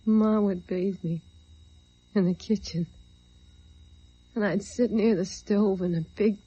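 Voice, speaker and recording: A woman's voice: medium pitch, fairly even intonation, takes it slowly, mellow, breathy edge. An actress playing a dazed, wistful woman in an old radio drama, speaking hesitantly and colloquially after a crash. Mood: giddy, confused. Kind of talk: 1940s radio drama acting. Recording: muffled old radio recording.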